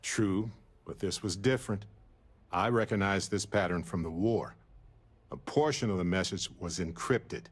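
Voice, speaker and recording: A man playing a warm, wistful space explorer companion in a video game, earnest and reflective in a conversational way. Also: low-pitched, moderate pace. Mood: nostalgic, reflective. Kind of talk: scripted video game dialogue.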